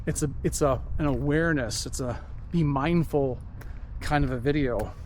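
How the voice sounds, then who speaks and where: Man, fairly high voice, reflective walk-and-talk, repeats himself, outdoor recording with faint noise. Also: normal speed, a little mellow. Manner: rambling, earnest, with restarts and repeated phrases. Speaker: vlogger. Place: outdoors, walking.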